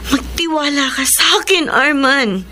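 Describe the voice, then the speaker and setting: Young bride-to-be, high, emotional, faint hiss behind. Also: female voice, animated, unhurried. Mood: upset. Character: young bride-to-be, earnest and proud. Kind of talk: classic radio drama acting.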